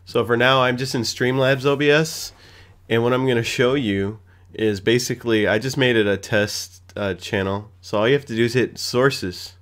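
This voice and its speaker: Man, thirties, mid-pitched, playful, casual streamer tutorial delivery. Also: fairly even intonation, normal speed, fairly bright tone. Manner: playful, goofy. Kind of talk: casual screen-recorded tutorial talk. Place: small home room with gaming setup, indoors.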